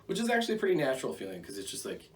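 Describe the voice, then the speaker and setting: A man's voice: medium pitch, wildly swooping pitch, rapid-fire. An American man giving a rambling, conversational product review with jokey asides. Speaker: gear reviewer. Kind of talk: casual product review to camera. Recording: distant mic, faint background noise.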